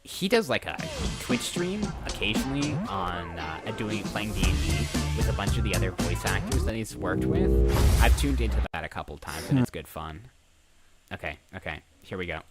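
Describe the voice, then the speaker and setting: A man's voice: lowish voice, very animated, moderate pace, loud music behind the voice. An eighteen-year-old man chatting casually on a live stream, rambling, repeating himself and breaking off mid-sentence. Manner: rambling, repeats phrases, self-interrupting. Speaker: streamer and voice actor.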